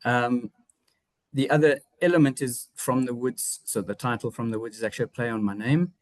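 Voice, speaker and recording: A man with a South African English accent talks through his own artworks at a seminar, reflective and personal. His delivery is halting, full of fillers and self-corrections. The voice sits at an ordinary middle pitch and is recorded close and dry. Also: no echo. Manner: hesitant, frequent fillers and restarts. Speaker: artist presenting his work. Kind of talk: seminar presentation.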